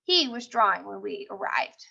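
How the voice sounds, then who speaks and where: A woman's voice: medium pitch, normal speed, creaky. A woman reading a children's mystery story aloud expressively, acting out the dialogue. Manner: animated, acts out the characters' dialogue. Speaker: storybook reader. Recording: dull, lo-fi sound.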